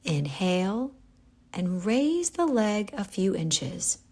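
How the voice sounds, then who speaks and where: A woman guiding a yoga nidra relaxation, giving calm, gentle, unhurried instructions with pauses. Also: mid-range voice. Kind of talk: guided relaxation meditation.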